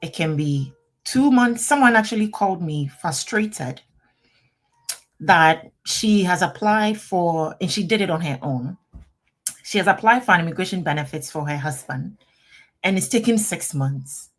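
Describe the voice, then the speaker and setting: A woman's voice: fairly low pitch, measured pace. Chatty woman attorney. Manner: chatty, animated, addresses viewers directly. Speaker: immigration attorney. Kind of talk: live-stream Q&A, reading out viewer comments.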